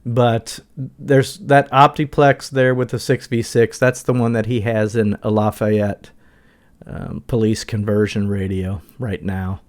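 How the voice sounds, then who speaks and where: A man's voice: fairly low pitch, little pitch movement, a little slow. A middle-aged man giving a casual walkthrough as a guitar gear reviewer.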